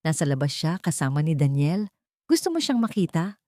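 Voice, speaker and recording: Woman voicing a firm, forgiving young mother, mellow. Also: sits low, sing-song, dry recording, studio quality. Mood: gentle. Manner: firm, measured.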